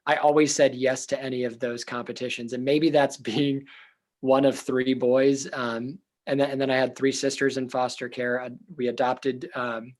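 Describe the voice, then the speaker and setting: A young man, a therapist, talking earnestly in an interview with a loud voice, thinking aloud with fillers and restarts. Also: medium pitch, level tone, moderate pace. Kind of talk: reflective casual interview talk.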